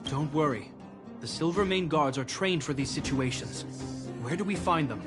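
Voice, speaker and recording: A man's voice: fairly high pitch, lively intonation, normal speed, over music, close to an everyday voice. An actor playing an earnest, duty-bound young male military commander, formal and resolute, in a video game. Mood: reassuring.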